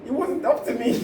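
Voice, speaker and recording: A man co-hosting a podcast, giving rambling, laughing advice in casual chat, with many restarts. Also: extremely high pitch. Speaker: podcast co-host. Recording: roomy, distant mic with noise.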